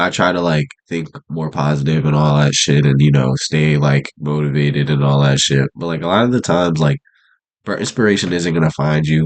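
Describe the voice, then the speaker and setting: A young man with a congested, stuffy nose, rambling in laid-back slang with lots of fillers as he talks unscripted to a camera. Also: extremely low pitch. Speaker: vlogger. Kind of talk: unscripted casual monologue to camera. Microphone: camera mic.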